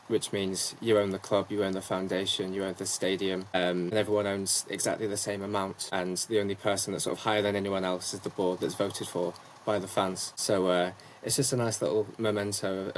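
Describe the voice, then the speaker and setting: A man's voice: sits low, slight background noise, low-quality recording. A young man, a sports commentator and journalist, answering interview questions at length in an articulate, talkative way with frequent ums. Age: young adult.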